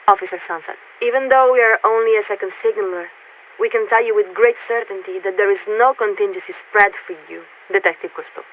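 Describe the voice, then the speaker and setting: An actress playing a polite, aloof military signaler in a video game, formal, diplomatic and evasive over a radio filter. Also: female voice, mid-range voice. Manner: formal, measured, diplomatic, speaks as "we". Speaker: warship signaler. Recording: tinny narrowband radio with static.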